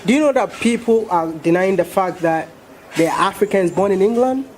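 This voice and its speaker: Playful woman host, swooping animated voice, light noise behind. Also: mid-range voice, moderate pace. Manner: playful, teasing, laughs often. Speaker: vlogger interviewer. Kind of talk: casual street interview.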